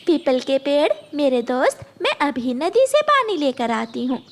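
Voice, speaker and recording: A woman's voice: high voice, sing-song, a little slow, exaggerated voice. A woman voicing a kind, helpful female sparrow in a cartoon, earnest and caring.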